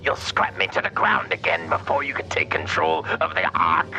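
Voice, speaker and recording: A man voicing a proud, angry robot raptor warrior in a radio drama, with a gravelly growl and formal, menacing delivery. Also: mid-range voice, big pitch swings, normal speed, pushed and bright, full character voice, low-quality recording. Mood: enraged, defiant. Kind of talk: radio drama cartoon acting.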